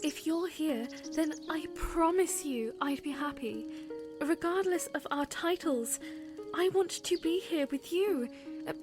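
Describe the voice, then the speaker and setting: A woman plays a young princess in an audio drama, gentle and sincere. Her voice is high and her delivery slow and earnest, with music clearly audible behind her. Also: exaggerated voice. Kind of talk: fan audio drama acting.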